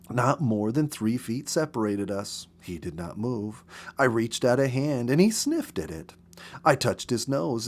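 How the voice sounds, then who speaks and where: Male story reader, medium pitch, scripted narration, expressive, dramatic, deliberate phrasing.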